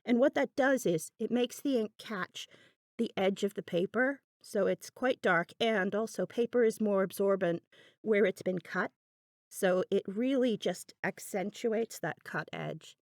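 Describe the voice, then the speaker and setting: Woman, mid-range pitch, casual tutorial narration, chatty, thinking aloud, dry close-up sound. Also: unhurried, darkish tone, no echo. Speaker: craft channel host. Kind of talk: casual craft tutorial voiceover.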